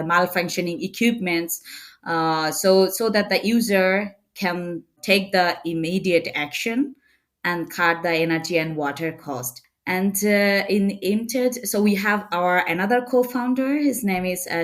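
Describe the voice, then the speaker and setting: Soft-spoken hesitant woman from Bangladesh. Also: on the low side. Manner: hesitant, many fillers, non-native phrasing. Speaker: startup co-founder, guest. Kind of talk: casual interview answers.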